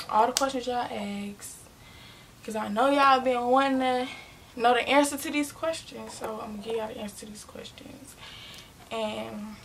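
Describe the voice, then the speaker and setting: A nineteen-year-old woman, chatting casually and rambling through a Q and A in her bedroom, often correcting herself and dropping into quiet asides. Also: a little high, background noise. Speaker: vlogger. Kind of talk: casual Q and A talking to viewers. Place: bedroom, indoors, small.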